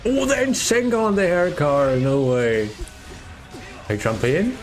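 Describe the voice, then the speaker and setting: A man's voice: on the high side, big pitch swings, slow, soft background music. A man from Germany, the tournament organizer, giving casual, rambling live commentary on his own and chatting with viewers. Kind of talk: solo live esports commentary.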